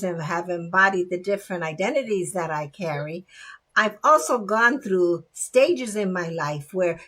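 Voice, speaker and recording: A woman's voice: mid-range voice, fairly bright tone. A woman hosting a talk show, chatty and encouraging, speaking straight to her audience. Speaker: podcast host. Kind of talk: casual chat show hosting.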